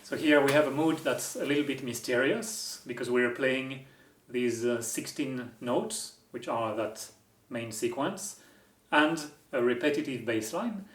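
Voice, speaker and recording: A man's voice: mid-range voice, a little slow, a bit bright, reverberant. A man with a French accent, hosting a music-making vlog and explaining his work with wry, self-deprecating humor. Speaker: musician and video host. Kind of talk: casual explanatory vlog narration.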